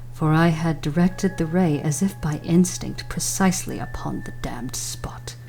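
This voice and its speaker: A woman's voice: deep voice, poor audio. A woman voicing a nervous, gleefully cunning mad murderer in a theatrical, emphatic gothic horror reading. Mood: hushed menace. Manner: theatrical, drawn-out, emphatic, dropping into whispers. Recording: loud music under the voice. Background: loud instrumental music.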